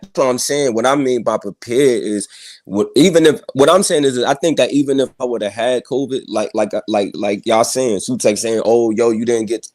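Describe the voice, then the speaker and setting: A man's voice: medium pitch, a little mellow. A young man on a remote call, insistently explaining himself in casual discussion, often repeating and restarting. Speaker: guest on a panel stream.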